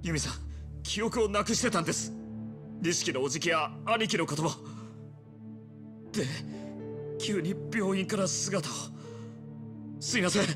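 A young, devoted yakuza underling in a crime video game. His voice sits a little high and is earnest and deferential, with clear music under it. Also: expressive, a little slow, pauses often. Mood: distressed, pleading.

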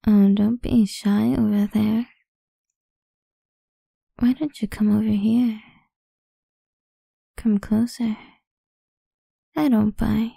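A woman playing a possessive, seductive goth vampire idol in an intimate ASMR roleplay, breathy and husky, often whispering, slow and teasing, close on the mic. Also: mid-range voice, mellow, dry recording, full character voice. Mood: teasing, inviting. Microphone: close studio-style microphone, dry.

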